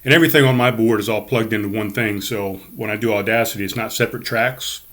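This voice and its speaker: Loud, projecting podcast host, lower voice. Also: male voice, steady tone, fast. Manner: rambling, with many fillers. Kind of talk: casual chat.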